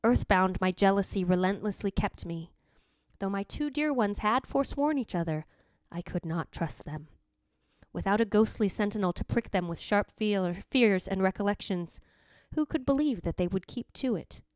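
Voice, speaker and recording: A woman's voice: mid-range voice, lively intonation, moderate pace, smooth, no echo, clean studio sound, natural voice. A woman playing the jealous ghost of a dead wife, narrating in a theatrical, literary, anguished way in old-time radio style. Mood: vengeful, brooding.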